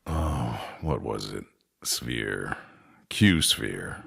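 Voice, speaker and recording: An actor playing a weary, bitter, irritable man in a sci-fi audio drama, with a rough, muttering delivery. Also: a bass voice, expressive, extremely slow, fairly bright tone, heavily acted. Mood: grim, matter-of-fact. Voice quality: gravelly, rough.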